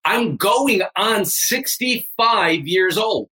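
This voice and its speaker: A man's voice: sits high, animated, very slow, pushed and bright. A man in his fifties, a fitness coach, talking emphatically and energetically as a guest on a remote interview. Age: 50s. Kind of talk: energetic motivational interview talk.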